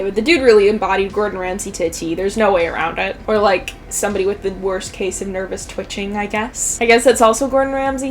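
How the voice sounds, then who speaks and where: A woman's voice: fairly high pitch, a bit bright, a little hiss or hum. A young woman giving casual, sarcastic YouTube commentary in slangy, sweary language. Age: young adult.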